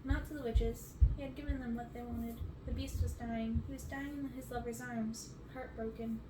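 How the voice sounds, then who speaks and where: A woman's voice: on the high side, fairly even intonation, normal speed. A young woman in her twenties reading a fantasy novel aloud in an ASMR style, recorded in a bedroom. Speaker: storybook reader. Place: bedroom, indoors. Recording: roomy echo, noticeable background noise.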